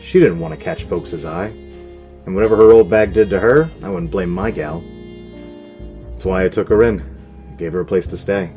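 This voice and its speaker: Man playing a very deep, gruff 1920s barkeep. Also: expressive, normal speed, pauses often, warm tone, soft background music. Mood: wistful, regretful. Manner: period slang, brooding, tough-talking. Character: gruff, world-weary 1920s New York male speakeasy barkeep. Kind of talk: 1920s gangster radio drama acting.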